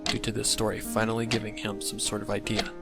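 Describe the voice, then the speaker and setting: A man reading scripted narration for a dark fan fiction story. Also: lowish voice, monotone, fast, creaky. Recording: loud music under the narration. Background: loud music.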